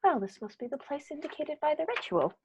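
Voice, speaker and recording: A brisk, self-assured male detective, played by a woman. The voice sits a little high and stays crisp as he lays out his deductions. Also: sing-song, dull audio, slight background noise. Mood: confident. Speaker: actor in radio play. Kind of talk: amateur radio play acting.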